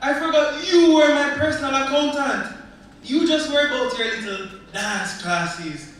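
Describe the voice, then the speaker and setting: A man's voice: very high-pitched, normal speed, fairly bright tone. An actor on a live stage playing a sarcastic young man who brushes off talk about his money. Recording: echoey hall picked up from far off.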